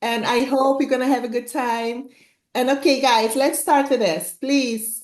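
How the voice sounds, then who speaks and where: High-voiced woman host, echoey room. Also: steady tone, a little slow. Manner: friendly, chatty introductions. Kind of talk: casual interview hosting.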